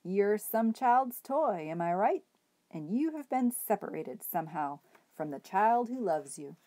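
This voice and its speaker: A woman voicing an enormous bearded male hobo who speaks in a gently formal, unhurried, courtly way. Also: high-pitched, sing-song. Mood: genial.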